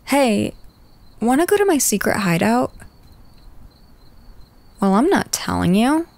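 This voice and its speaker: A woman's voice: a little high, sing-song, slow, darkish tone, no room sound, exaggerated voice. A woman playing a teasing young goth girl in an ASMR roleplay, talking casually and directly to the listener. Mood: excited, playful. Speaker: roleplay voice performer. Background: background music.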